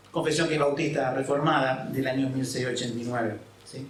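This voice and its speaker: A man's voice: on the high side, a bit bright, a little hiss or hum. A man from Argentina, a pastor preaching a sermon and explaining scripture, often checking in with his listeners. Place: church hall. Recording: echoey hall, mic at a distance.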